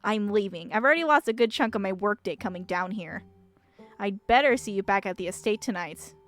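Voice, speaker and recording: A woman's voice: mid-range voice, a little fast, fairly bright tone, faint music behind, close to an everyday voice. A woman voicing a stern, stressed young woman in a visual novel, scolding and humorless. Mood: exasperated, stern. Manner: stern, exasperated.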